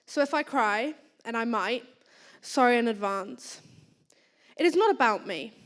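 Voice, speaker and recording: A woman reciting a free-verse poem on stage, quiet and tentative. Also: on the high side, expressive, a little slow. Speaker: student poet.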